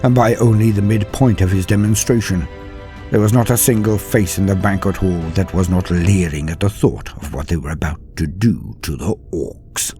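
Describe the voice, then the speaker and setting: A man narrating a dramatic science fiction story in a measured, theatrical way. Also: low voice, warm tone, faint music behind.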